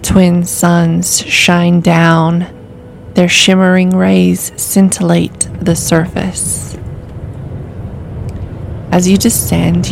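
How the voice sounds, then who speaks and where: A woman calmly reading a scripted guided imagery meditation in a soothing, deliberate way. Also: on the low side, vocal fry, noisy background. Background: music.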